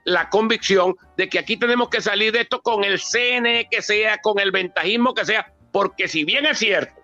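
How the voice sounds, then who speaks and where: Venezuelan guy doing emphatic political commentary, high sharp voice, speechy, lots of repetition, pretty dry sound. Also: normal speed, no room sound, lo-fi sound, faint music behind. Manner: emphatic, oratorical, builds lists and repetitions. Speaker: political analyst and author speaking at a book presentation. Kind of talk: impromptu political commentary answering a question.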